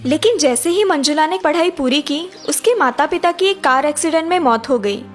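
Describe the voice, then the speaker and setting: A woman's voice: high voice, expressive, normal speed. A woman narrating a scripted story for children.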